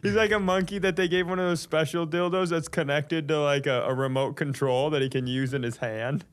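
A man with a fairly high voice hosts a comedy podcast. He chats casually and chuckles through his crude, joking commentary. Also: fairly even intonation, fairly quick. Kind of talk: casual comic banter.

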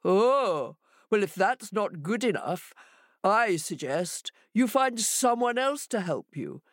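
A woman's voice: medium pitch, very animated, slow, dry recording, studio quality, pitched above the performer's own voice. A woman voicing a bossy, blustering male jackal in a storybook tale, with emphatic, commanding delivery.

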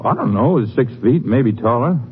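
A man's voice: mid-range voice, animated, normal speed, husky. An actor playing an evasive, nervous small-town feed barn man with a stammering, folksy manner in a 1950s radio drama. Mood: flustered. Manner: stammering, hedging, folksy grammar. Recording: tinny, noisy old radio recording.

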